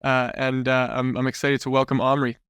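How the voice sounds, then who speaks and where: A man's voice: mid-range voice, completely flat delivery, brisk, fairly bright tone, clear, resonant tone, dry recording, studio quality. A man hosting an interview show, introducing his guest and asking questions in a conversational way.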